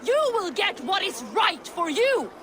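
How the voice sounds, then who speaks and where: High, furious Norse villager. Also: sing-song, measured pace, bright, forceful tone, a faint crowd, slight background noise, full character voice. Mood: furious, accusing. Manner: scornful, threatening. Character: furious, accusing Norse villager, loyal pagan zealot. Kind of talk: video game cutscene acting.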